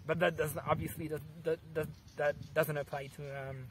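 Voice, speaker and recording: A man in his thirties from Poland with a Polish accent, answering interview questions in a park with lively gestures and many restarts. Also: on the high side, moderate pace, a little hiss or hum. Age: 30s. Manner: animated, gesturing, frequent restarts. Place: outdoors in a sunny park.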